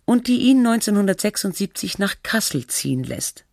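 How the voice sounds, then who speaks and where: A documentary narrator reading a scripted feature in a measured, matter-of-fact, scholarly way. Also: mid-range voice, very animated, vocal fry, a little mellow, no echo, clean studio sound.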